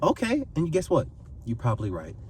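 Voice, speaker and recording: A man's voice: on the high side, huge pitch swings, fairly quick, distant mic, slight background noise. A man in his twenties giving earnest advice to camera, pleading and repeating phrases for emphasis. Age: 20s. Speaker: vlogger giving life advice.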